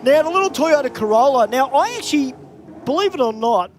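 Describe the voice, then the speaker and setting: A man's voice: extremely high pitch, expressive, fairly quick, a little hiss or hum. A man with an Australian accent calling drag races in live commentary, chatty and upbeat in easy banter.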